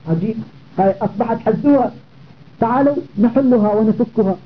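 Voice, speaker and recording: A man teaching a classical grammar lesson. He explains methodically, repeats key phrases and stops to check that students follow. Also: very high-pitched. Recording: tinny phone-like audio with faint noise.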